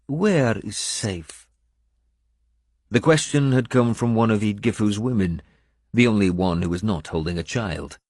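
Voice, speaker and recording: Deep-voiced male audiobook narrator, close and dry. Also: normal speed, a little mellow, dry recording. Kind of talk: dramatic scripted first-person narration.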